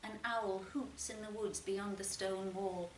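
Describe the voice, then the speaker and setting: A woman reading literary fiction aloud as an expressive narrator, with deliberate phrasing and dramatic pauses. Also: medium pitch. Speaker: short story reader. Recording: roomy, distant mic, faint noise.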